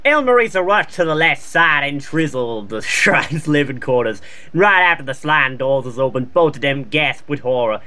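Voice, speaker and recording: A man's voice: medium pitch, bright, forceful tone. A wisecracking man bantering casually and reading a story aloud for comedy. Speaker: co-host reading and commenting.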